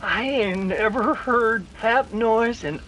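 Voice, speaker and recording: A woman's voice: a little high, slow, very noisy. A woman playing a dour, superstitious local of a haunted house in a 1930s-style radio drama, folksy and ominous. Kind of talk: 1930s radio mystery acting.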